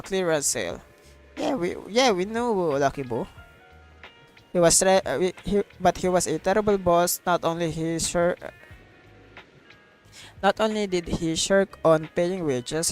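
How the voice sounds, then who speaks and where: A woman livestreaming a game in a casual, slangy way, commenting and reading dialogue aloud with some stumbles. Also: deep voice. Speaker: streamer. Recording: game music behind the voice.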